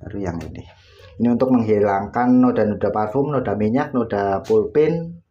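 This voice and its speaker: A man's voice: fairly low pitch, normal speed, mellow, highs cut off, slight background noise. A man, casually explaining step by step while working at home. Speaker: laundry tutorial host. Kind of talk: casual how-to demonstration. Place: home laundry area, indoors.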